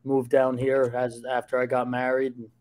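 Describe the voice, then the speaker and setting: A young man with a New Jersey accent chats as a podcast guest over a home video call. He talks loudly and eagerly, often restarting his sentences as the stories tumble out. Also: medium pitch, completely flat delivery, normal speed, low-quality recording. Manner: loud, eager storytelling with frequent restarts. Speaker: guest, sports journalist. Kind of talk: casual podcast chat.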